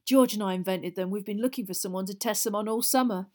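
A woman voicing a mischievous, cocky teenage boy in a storybook, with a bright and cheeky manner. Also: mid-range voice, fast, lightly acted. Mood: bright, proud.

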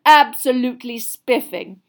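A woman's voice: a little high, big pitch swings, normal speed, pitched above the performer's own voice. A woman voicing a cheeky, playful teenage boy in a storybook, teasing in a mock-posh way. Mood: mock grand. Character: cheeky, mocking, playful teenage twin brothers.

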